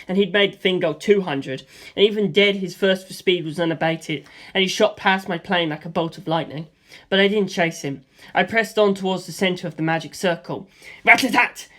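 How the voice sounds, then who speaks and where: A man reading a first-person action story aloud in a lively way, voicing gunfire sound effects himself. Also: high-pitched. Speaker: audiobook reader.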